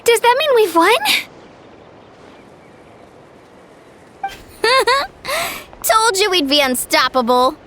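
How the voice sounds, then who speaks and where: A very high, bright girl's voice, bubbly and energetic. She is an eager young adventurer in an anime video game, exclaiming in lively swoops over faint music. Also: takes it slowly, a little hiss or hum, exaggerated voice. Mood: excited.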